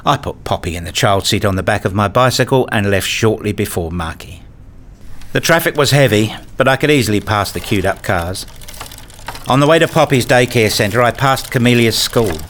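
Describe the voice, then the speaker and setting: Low-voiced man narrating a story. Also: animated, normal speed, fairly bright tone, slight background noise. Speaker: narrator and lead of a radio play. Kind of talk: scripted first-person narration.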